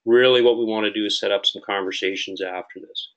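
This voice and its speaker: A man with a Canadian accent hosting a webinar as client services manager, relaxed and friendly, with frequent uhs. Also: fairly low pitch, fast, muffled recording. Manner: friendly, relaxed, filler-heavy. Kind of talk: webinar introduction.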